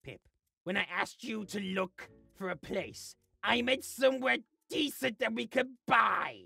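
Someone voicing a gravelly, grumpy little schemer. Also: medium pitch, animated, measured pace, faint music behind, full character voice. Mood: indignant. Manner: dramatic, sulky, swinging from mutters to shouts. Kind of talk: voice-acted comedy over gameplay.

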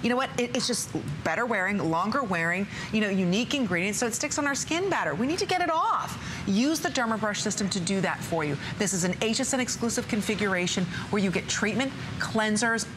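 A woman in her forties hosting a TV shopping show, pitching a skincare kit from a studio set. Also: a little fast, hardly any pauses, noisy background. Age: 40s. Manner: upbeat sales pitch, lists product contents. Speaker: shopping channel host. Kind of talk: TV shopping presentation.

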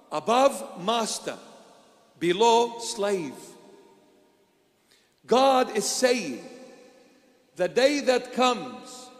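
An older man with an Iraqi accent preaching a sermon at a church altar, asking rhetorical questions and answering them himself, moving from loud emphasis to hushed asides. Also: sits high. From Iraq. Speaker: bishop preaching. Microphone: handheld mic. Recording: long church reverb.

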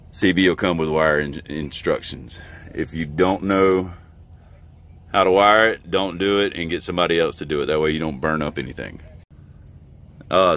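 A man's voice: low voice, fairly bright tone. A middle-aged American man, a truck driver, giving a casual, plain-spoken how-to while recording inside his truck cab. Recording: background noise in truck cab.

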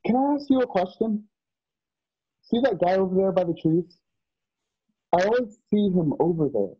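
A man playing an earnest, anxious young man in a radio drama, with a husky voice and a hesitant, stammering delivery. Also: high-pitched, expressive, moderate pace, no room sound. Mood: curious, tentative. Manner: hesitant, stammering, repeating words. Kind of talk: online radio drama acting. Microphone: video call, home setup. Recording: dull lo-fi video call.

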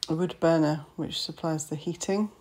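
A woman's voice: deep voice, fairly even intonation, normal speed, a little mellow. A woman estate agent narrating a walkthrough of a house, listing its features matter-of-factly in short phrases. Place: inside an old house.

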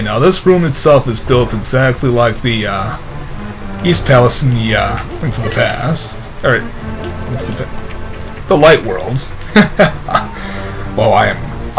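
A man's voice: medium pitch, expressive, a little slow. Tired man commentating over game music. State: tired, slightly hoarse. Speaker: let's play commentator. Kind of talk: casual gameplay commentary. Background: video game music.

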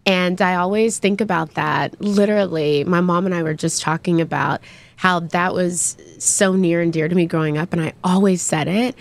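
A bubbly, animated woman from Texas in her forties, chatting as a podcast guest and laughing easily. Her voice sits fairly low for a woman. She talks into a desk mic in a small studio. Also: normal speed, vocal fry. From Texas, USA. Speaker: podcast guest, brand founder. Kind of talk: casual interview chat.

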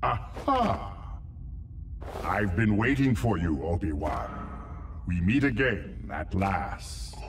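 A man voicing a menacing, pompous armored villain in an animated game cutscene, commanding and at times comically hesitant. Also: low-pitched, slow, reverberant, slight background noise, full character voice. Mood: gloating. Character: menacing armored male Sith lord, commanding and boastful. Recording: loud film score over the voice.

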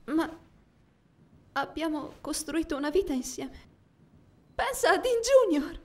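A woman's voice: very high voice, expressive, unhurried. A woman dubbing a devoted young girlfriend, earnest and melodramatic, in a comedy sketch. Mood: shocked, hurt.

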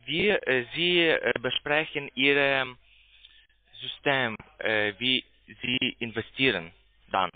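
A man speaks in a medium-pitched voice over a narrow, muffled phone line. He has a foreign accent and is not a native speaker. His sales pitch is halting and broken, as he keeps searching for words. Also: no room sound. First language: not German. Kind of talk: sales call. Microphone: telephone.

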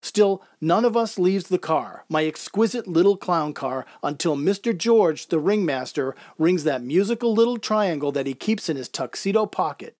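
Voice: medium pitch, animated, normal speed, hardly any pauses, darkish tone, dry recording, studio quality, close to an everyday voice. A performer playing a wistful, proud circus clown in a reflective first-person audio drama monologue. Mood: quietly proud. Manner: reflective, descriptive storytelling.

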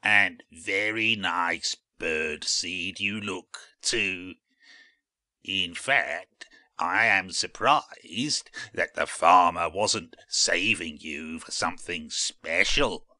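A man voicing a sly, flattering male rook in a children's storybook, with oily, drawn-out courtesy. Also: low-pitched, no room sound, clean studio sound, exaggerated voice. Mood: sly, appraising. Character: sly, flattering old-fashioned male rook, large predatory bird.

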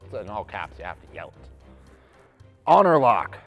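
A man in his thirties reading out a list as a mascot presenter, announcing items and dropping into muttered, deadpan comic asides. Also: deep voice, quick pace, lo-fi sound, soft background music. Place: large glass-walled office room, indoors.

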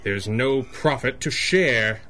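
An actor playing a righteous, confident masked superhero in a radio drama, firm and dryly declarative. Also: male voice, mid-range voice, slow, sharp tone, a little hiss or hum. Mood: firm indignation. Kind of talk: 1930s-style radio superhero drama acting.

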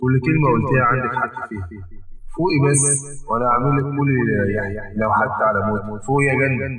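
A man's voice: mid-range voice, rapid-fire, recorded from a distance, a crowd in the background, close to an everyday voice, poor audio. A man with an Egyptian accent, unwell, voicing a devoted young husband who pleads urgently with his wife in an audio drama. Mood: tender pleading.

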